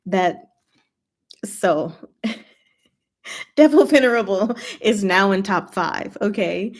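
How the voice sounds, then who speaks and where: A woman hosting a livestream, chatting casually and playfully with her viewers in an animated, slangy way. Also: medium pitch. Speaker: livestream host and book reader.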